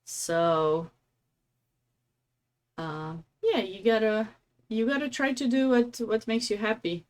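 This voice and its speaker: A woman's voice: a little high, takes it slowly. A woman from Romania with a Romanian accent, giving casual, chatty live game commentary as a streamer, with affectionate asides.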